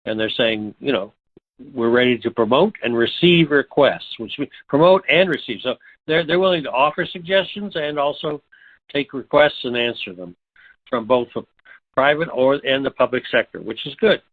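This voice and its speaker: A man with a medium-pitched voice comes through a narrow, phone-like connection. He co-hosts a call, adding conversational commentary and explanation. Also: normal speed. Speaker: conference call co-host. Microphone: phone line. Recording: tinny phone line conference call.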